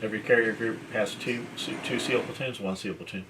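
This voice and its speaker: A man hosting a military gear video chats off the cuff, blunt and profane, with halting explanations. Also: lowish voice, fairly even intonation, crowd noise, rough recording. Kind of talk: casual unscripted chat. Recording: clear background noise.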